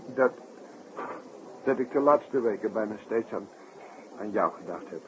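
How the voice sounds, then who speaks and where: An actor playing an excitable, worried circus performer in a 1960s radio drama, emphatic and chummy in manner. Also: male voice, lowish voice, measured pace. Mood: worried, agitated. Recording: muffled, noisy 1960s radio recording.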